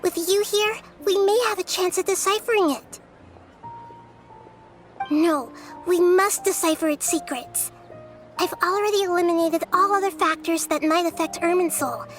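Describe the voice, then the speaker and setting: A woman playing a childlike, wise young goddess in a video game, speaking in a measured, thoughtful, earnest way. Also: very high voice, soft background music. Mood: earnest, resolute. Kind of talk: scripted video game voice acting.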